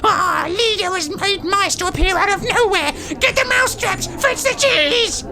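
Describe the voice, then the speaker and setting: A very shrill, creaky, gloating witch. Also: lively intonation, slow, crowd noise, full character voice. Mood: excited. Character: excitable, gloating, bloodthirsty witch in an adoring crowd. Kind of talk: dramatised audiobook acting.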